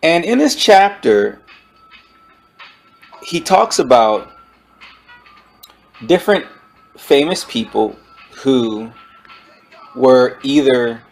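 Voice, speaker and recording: A man giving an informal spoken book review, explaining his points in a halting way over background music. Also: medium pitch, animated, vocal fry, rough recording.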